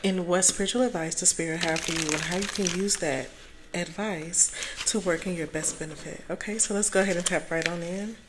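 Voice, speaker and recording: Hoarse, calm woman reading tarot. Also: fairly low pitch, brisk, noisy background. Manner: calm, soft-spoken, chatty. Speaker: tarot reader.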